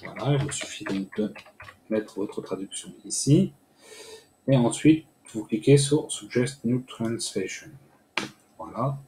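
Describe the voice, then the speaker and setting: A man walking viewers through a screen-recorded software tutorial step by step, pausing often with filler sounds. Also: mid-range voice, expressive. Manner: hesitant, many "euh" fillers, step-by-step explaining. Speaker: tutorial host. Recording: echoey room with faint noise.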